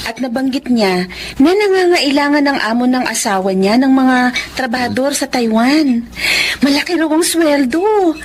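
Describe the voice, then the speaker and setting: A woman playing a proud, loving young bride-to-be in a Filipino radio drama, earnest and expressive. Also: high-pitched, normal speed. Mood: casual. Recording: faint background ambience noise.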